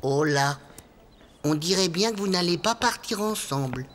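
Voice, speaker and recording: A man's voice: sits high, animated, normal speed, fairly bright tone, a little hiss or hum. A man playing a sensible animal doctor in a children's cartoon, polite and slightly pompous. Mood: amiable. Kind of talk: children's cartoon dubbing in French.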